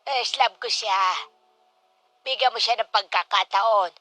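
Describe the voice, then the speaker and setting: A woman's voice: on the high side, unhurried, a bit bright, faint music behind. A woman playing a loving older mother who pleads to remarry, in a Tagalog radio drama. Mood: tender, pleading.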